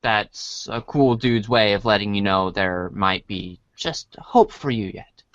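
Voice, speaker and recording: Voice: low-pitched, unhurried, no room sound, highs cut off. A person reading a comic script aloud as storybook narration, sometimes stumbling over lines and apologizing. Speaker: reader of a read-along storybook show.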